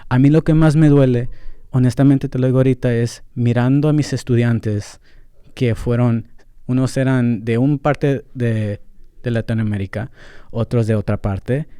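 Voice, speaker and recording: A man's voice: medium pitch, steady tone, unhurried, warm tone, clear, resonant tone, no room sound, clean studio sound. A young adult man hosting a solo podcast, talking candidly and switching between English and Spanish with a Central American accent, with frequent fillers. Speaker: podcast host. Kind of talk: casual solo podcast monologue.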